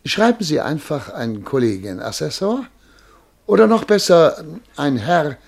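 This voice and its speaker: An actor playing a self-important, easily flustered male official in a radio play, halting, pompous and pleading, with a husky voice. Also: a little high, very animated, heavily acted. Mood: evasive, self-important. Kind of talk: German radio play acting.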